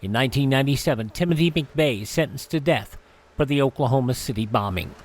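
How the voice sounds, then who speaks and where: A man's voice: mid-range voice, brisk. A man hosting a radio show, reading through an almanac in an announcer-like way with casual asides. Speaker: radio host.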